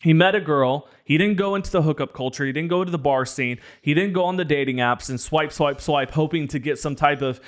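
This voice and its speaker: A man's voice: on the high side, a little fast, few pauses. A young man co-hosting a podcast, talking in an earnest, preachy, motivational way. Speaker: podcast co-host. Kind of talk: casual podcast discussion.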